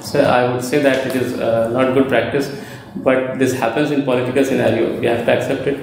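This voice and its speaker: A man's voice: mid-range voice, flat delivery, a little fast, gravelly, darkish tone, a little hiss or hum. Young man, Indian English accent, hesitant, polite, frequent fillers, echoey room. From Bihar, India. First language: Hindi. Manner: hesitant, polite, many fillers, says sir often. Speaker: interview candidate. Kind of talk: formal exam interview answers.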